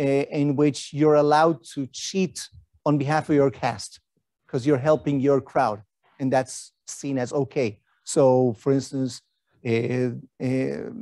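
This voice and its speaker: A man's voice: medium pitch, warm tone, dry recording. A middle-aged man, giving an academic lecture in a conversational way with rhetorical questions and fillers. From Argentina.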